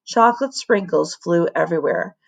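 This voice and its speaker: A woman, a teacher, reading a children's story aloud with dramatic, deliberate expression. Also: on the low side, animated, creaky.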